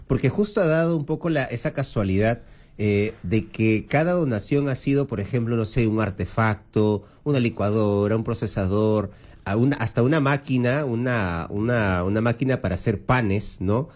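Man, forties, radio host, chatty, animated, mid-pitched. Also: normal speed. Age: 40s. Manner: chatty, laughs often, animated. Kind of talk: casual radio chat, fundraising appeal.

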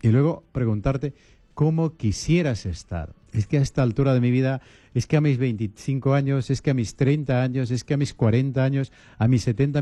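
A man, a priest, giving calm, repetitive advice on a radio talk program. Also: mid-range voice, no room sound. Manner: calm, repetitive listing, coaching tone.

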